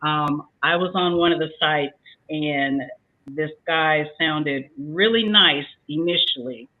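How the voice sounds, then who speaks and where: Older woman, lively storytelling, tinny sound. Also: sits low, slow. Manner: lively storyteller acting out both sides of a conversation. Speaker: talk show panelist. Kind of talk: casual advice talk.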